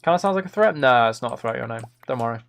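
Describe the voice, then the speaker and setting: A man's voice: mid-range voice, lively intonation, racing. A man chatting casually to viewers as a live game streamer, with a dry, joking, mock-serious manner. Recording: clear noise, keyboard and mouse clicks.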